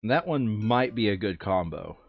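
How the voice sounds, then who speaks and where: A man's voice: on the low side, sing-song, normal speed, no room sound, muffled recording. A man chatting casually as a player planning a board game session, thinking aloud and repeating his phrases.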